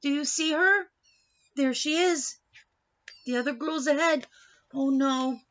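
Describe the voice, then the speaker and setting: Expressive woman reading aloud, slow and high-pitched. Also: muffled recording. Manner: animated, dramatic, engaging listeners with questions. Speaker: read-aloud storyteller. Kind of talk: expressive picture-book read-aloud with asides and questions to young listeners.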